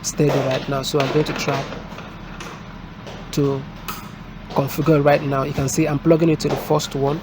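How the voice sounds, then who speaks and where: Male technician for hands-on tutorial: halting step-by-step delivery, slightly high pitch, clear street noise behind. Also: flat delivery. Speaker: technician presenting a product installation. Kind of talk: unscripted hands-on tutorial and review. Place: indoors at a desk, filmed from above. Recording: noisy room with street and vehicle sounds.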